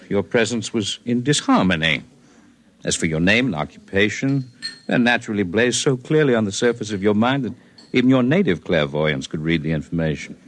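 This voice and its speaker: A lofty alien healer. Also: male voice, on the low side. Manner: measured, lofty, precise. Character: calm superior alien healer man, scholarly. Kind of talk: 1950s radio drama acting.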